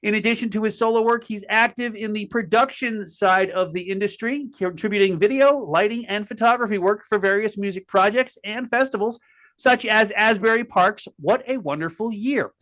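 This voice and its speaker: Chatty, bright-voiced host man. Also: sits high. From Connecticut, USA. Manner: folksy, chatty, halting with repeated words. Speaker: podcast host. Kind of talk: casual interview talk.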